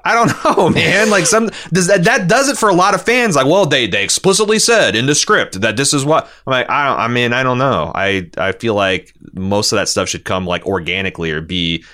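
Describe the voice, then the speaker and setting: A man's voice: mid-range voice, lively intonation, brisk, few pauses, bright, forceful tone. A man hosting a podcast, giving casual, rambling fan commentary and reading out feedback, repeating phrases for emphasis. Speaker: podcast host.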